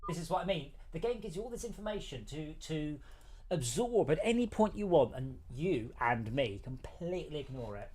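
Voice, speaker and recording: Male game streamer and developer, casual and rambling, teasing, with animated, swooping delivery. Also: fairly high pitch, moderate pace, slight background noise.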